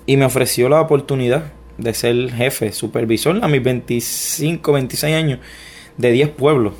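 A man's voice: medium pitch, normal speed. A young adult man with a Puerto Rican accent, telling his life story as an interview guest, with many restarts and a self-deprecating manner. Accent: Puerto Rican Spanish. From Puerto Rico. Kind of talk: personal testimony interview.

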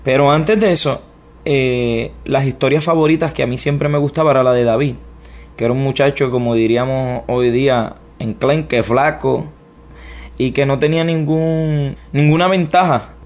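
A man's voice: medium pitch, steady tone, moderate pace, darkish tone. A Puerto Rican man in his young adult years recounts his life to an interviewer. His speech stumbles and restarts often. He pokes fun at himself as he goes. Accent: Puerto Rican Spanish. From Puerto Rico. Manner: hesitant, frequent restarts, self-deprecating. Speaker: interview guest. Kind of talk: personal testimony interview.

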